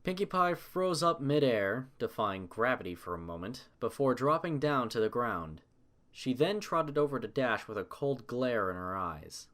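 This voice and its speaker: A man's voice: mid-range voice, very animated, normal speed, a bit bright, slight background noise. Male narrator reading a story.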